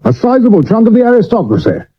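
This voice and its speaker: A husky, blustering Victorian police chief on dull old radio audio. His voice leaps about as he barks clipped orders, imperious in the manner of a radio play. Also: sits high, huge pitch swings, fast talker, heavily acted. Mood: agitated, commanding. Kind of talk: 1970s radio drama acting. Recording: dull old medium-wave radio recording.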